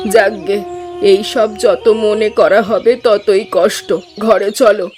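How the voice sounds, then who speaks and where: A woman voicing an aging rural mother who slowly complains about her grown son. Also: mid-range voice, level tone, a little mellow, natural voice. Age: older. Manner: slow, complaining. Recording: voice over music.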